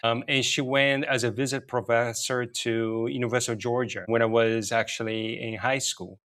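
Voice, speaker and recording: A man's voice: medium pitch, fairly even intonation, moderate pace, a bit bright. A middle-aged man from Brazil with a Brazilian accent, a native Portuguese speaker, answering interview questions in a conversational, explanatory way with "you know" sprinkled in. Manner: explanatory, often says "you know" and "right". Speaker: interview guest, technology and payments executive.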